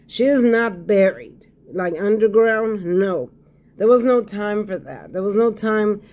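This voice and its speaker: A hoarse man giving a casual tarot reading to his viewers, repeating phrases and often checking in with "okay?". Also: sits high, expressive, a little slow, darkish tone. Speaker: tarot card reader.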